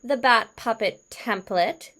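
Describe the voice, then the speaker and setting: A woman hosting a puppet craft tutorial, talking in simple, deliberate phrases as if for a young audience. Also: fairly high pitch, noisy background. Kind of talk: instructional craft tutorial talk.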